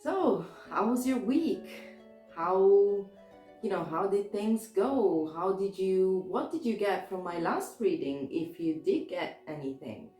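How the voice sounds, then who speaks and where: A woman with a sore throat, a little hoarse, giving a casual tarot reading in a chatty, hesitant way with many restarts. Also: medium pitch, lively intonation, slow, mellow, roomy sound, soft background music. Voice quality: slightly hoarse. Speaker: tarot reader.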